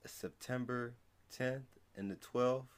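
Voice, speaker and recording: A man's voice: medium pitch, steady tone, slow pace. A young American man giving casual reaction commentary as a video host at home. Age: young adult. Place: small room at home, indoors.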